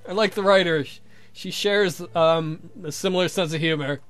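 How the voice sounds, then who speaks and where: A man's voice: a little high, moderate pace, a bit bright, faint music behind. A man hosting a let's play, reading a game aloud with casual, irreverent joking commentary.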